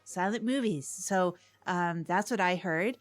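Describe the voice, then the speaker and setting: Woman doing chatty public access radio host lady, bit low voiced, earnest, rambly, keeps repeating herself. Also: measured pace, soft background music, close to an everyday voice. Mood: earnest, announcing. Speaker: co-host of community radio show. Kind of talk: improvised comic audio drama, casual radio chat.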